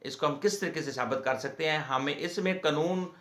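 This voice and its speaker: A man with a Pakistani accent gives an informal legal explainer in a halting, repetitive, explanatory way, as the host of an advice channel. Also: fairly high pitch, a bit bright. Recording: echoey room.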